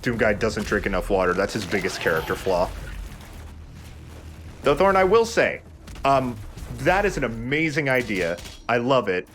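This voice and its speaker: Joking man commentating over clear background noise. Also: medium pitch, sing-song, moderate pace, long pauses, fairly bright tone. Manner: jokey, banters with friends. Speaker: let's play host. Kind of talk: casual joking game commentary. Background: game sound.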